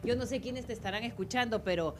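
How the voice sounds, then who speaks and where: A woman's voice: on the high side, fast talker. A woman with a Peruvian Spanish accent co-hosting a political talk show, speaking earnestly and emphatically in casual discussion.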